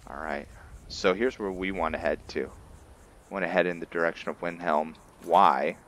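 A man's voice: low-pitched, fairly even intonation, normal speed, a bit bright. A man giving casual commentary on his roleplay playthrough, explaining things to viewers and often answering his own questions. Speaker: gamer narrating a roleplay playthrough.

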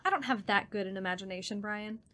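A woman's voice: medium pitch, quick pace, fairly bright tone, natural voice. An actress playing a frightened, insistent young woman haunted by shadows in a radio drama. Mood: haunted, fearful.

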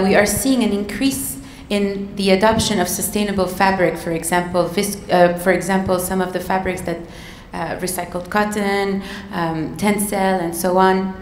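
A woman hosting an event, reading a measured scripted introduction from a laptop at a podium with a handheld mic. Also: mid-range voice, a little hiss or hum. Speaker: event host. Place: large auditorium stage. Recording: echoey hall with long reverb.

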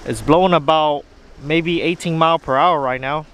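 High-voiced man, casual outdoor vlogger. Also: moderate pace, a bit bright. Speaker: fishing vlogger. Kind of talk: casual vlog chat. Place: outdoors on a windy rocky lakeshore. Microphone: handheld camera at arm's length. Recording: windy outdoor camera audio, faint noise.

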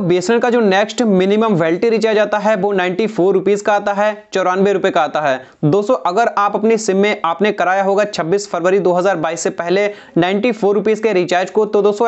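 Young man explaining on a lo-fi lapel mic. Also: high voice. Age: young adult. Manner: repetitive, keeps saying "friends", steady explanatory delivery. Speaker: tech channel host. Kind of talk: explaining phone recharge plans to camera. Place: small indoor room, red backdrop. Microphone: clip-on lapel mic.

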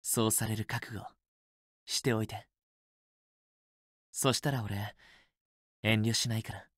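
A man's voice: on the low side, fairly even intonation, slow pace, fairly bright tone, dry recording, studio quality. A man playing a possessive, teasing young student boyfriend in a romance game, with an intimate, assertive, coaxing delivery. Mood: possessive, confident. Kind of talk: Japanese otome game voice acting, intimate romantic dialogue.

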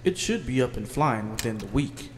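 A man playing a dry, advising tech expert in an audio drama, gravelly, recorded with reverb and noisy ambience. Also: medium pitch, animated, moderate pace, close to an everyday voice.

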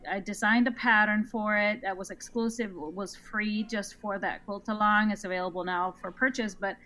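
A woman from Iceland, a guest being interviewed, talking casually with frequent restarts and a quick laugh. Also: medium pitch, steady tone, bright, forceful tone. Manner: chatty, quick to laugh, restarts her sentences. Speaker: guest quilt designer.

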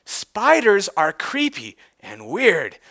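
A man plays a naive young female spider in a storybook tale. She sounds bright and bewildered, hesitating as her pitch swings about in a lively way. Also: slow pace, exaggerated voice. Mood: reluctant.

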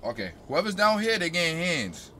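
A man's voice: on the high side, sing-song, moderate pace, sharp tone, a little hiss or hum. A young American man giving casual gameplay commentary, with blunt, slangy reactions. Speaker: game streamer.

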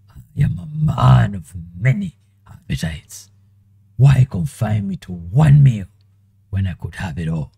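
Man, very dark mellow tone, slow theatrical monologue, dry close mic. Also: low-pitched, vocal fry, slightly rough, dry recording. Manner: slow, theatrical delivery with long pauses. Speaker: animator and voice actor. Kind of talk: dramatic monologue in five voice takes.

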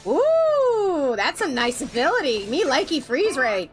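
A woman chats casually over a game she is playing. Her pitch is high and jumps around in a lively way, with silly exclamations and repeated phrases. Game music runs faintly beneath her. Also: a little slow. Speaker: game streamer. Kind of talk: casual playful gameplay commentary.

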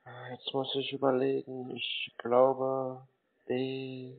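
A man's voice: mid-range voice, completely flat delivery, slow. A man in a confused-sounding phone conversation, naive and hesitant, stalling as he talks. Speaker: prank caller answering phone scammers. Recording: tinny narrowband phone line.

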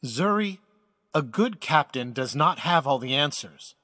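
An actor playing a wise, secretive old space admiral in an audio drama, speaking as a measured, formal, earnest mentor. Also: male voice, a little high, expressive, bright, forceful tone, dry recording, clean studio sound, exaggerated voice.

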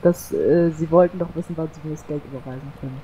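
A man's voice: on the high side, steady tone, fast talker, dark tone, dull audio, slight background noise. A man roleplaying a farm worker over voice chat, hesitant and fumbling for words.

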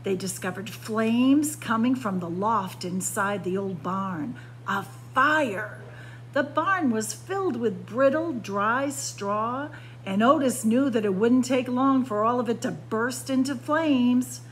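A woman's voice: on the high side, slow, slight background noise, rough recording. A woman reading a children's picture book aloud like a story-time librarian, expressively, with dramatic emphasis on key words.

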